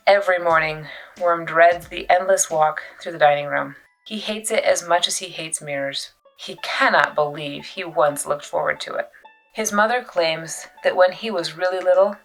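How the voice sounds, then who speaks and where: A woman reading a children's novel aloud as an expressive storyteller, with dramatic pauses and emphasis. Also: fairly low pitch, low-quality recording. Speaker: youth services librarian reading a book aloud.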